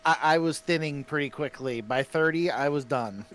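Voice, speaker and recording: A man's voice: on the high side, moderate pace, a bit bright. A man in his fifties hosting a casual chat while painting, full of self-mocking jokes and banter. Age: 50s. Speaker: stream host.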